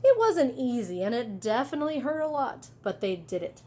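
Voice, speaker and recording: A woman reading a children's story aloud expressively, in an animated way, pausing for asides to young listeners. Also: high-pitched, a little hiss or hum. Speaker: teacher reading a picture book aloud.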